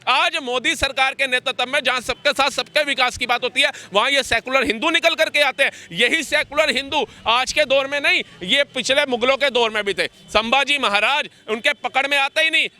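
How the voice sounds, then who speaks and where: A man with a very high, piercingly bright voice makes his case in a street interview. He is combative and emphatic, pressing his points with one rhetorical question after another. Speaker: interviewee giving political opinions. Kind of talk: impassioned street interview argument.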